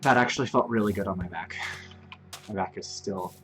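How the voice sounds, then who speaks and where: A man's voice: low voice, a little fast, fairly bright tone. A man in his twenties, a streamer chatting casually and joking at his own expense while he plays. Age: 20s. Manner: rambling, self-mocking, chatty. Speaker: Twitch streamer. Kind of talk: casual gameplay commentary. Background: game music.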